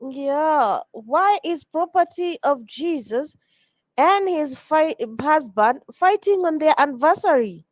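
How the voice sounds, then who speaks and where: A woman hosting a casual livestream chat, teasing a guest and tripping over quick restarts. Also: high-pitched, animated, no echo. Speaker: livestream host.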